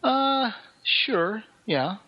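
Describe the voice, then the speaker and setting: A somewhat lo-fi, muffled recording of a man with a fairly high voice. He chats casually as a podcast co-host. He sounds enthusiastic and ready with an opinion. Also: very animated, drawn-out words.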